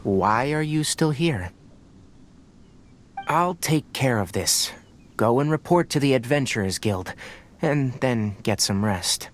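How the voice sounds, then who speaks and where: A man playing a calm, pragmatic young forest ranger and scholar in a video game, speaking in a measured, matter-of-fact, gently firm way. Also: mid-range voice, a little hiss or hum. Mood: quietly insistent. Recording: music behind the voice.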